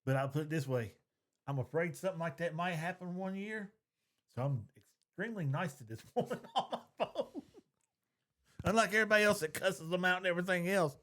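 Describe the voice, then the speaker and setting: A man's voice: sits high, a little fast, a bit bright. A middle-aged man chatting casually as a podcast co-host, folksy, opinionated and free with swearing. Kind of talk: casual chat.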